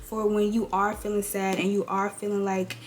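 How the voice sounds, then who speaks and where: A woman in her twenties with a raspy, rough voice from a cold, giving casual advice straight to her viewers. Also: medium pitch, little pitch movement, moderate pace, faint music behind. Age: 20s. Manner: chatty, direct, addresses viewers as y'all and girl. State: hoarse from a cold. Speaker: beauty and lifestyle vlogger. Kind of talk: casual girl-talk advice.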